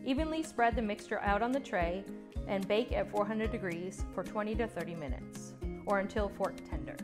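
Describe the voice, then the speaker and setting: A woman narrates a cooking lesson, walking through each step in a calm, scripted voice-over. Her pitch sits in the middle, and light music plays quietly beneath her. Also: moderate pace. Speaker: cooking instructor. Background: soft background music.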